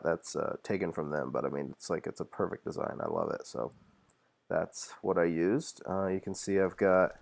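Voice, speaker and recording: A man with a very low, gravelly voice that drops into fry, sounding a bit stuffy from a cold. He talks in a relaxed, rambling way, filling gaps with fillers and correcting himself as he shows off his game builds. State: slightly congested from a cold. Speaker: channel host showing his Minecraft server builds. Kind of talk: casual gameplay walkthrough narration.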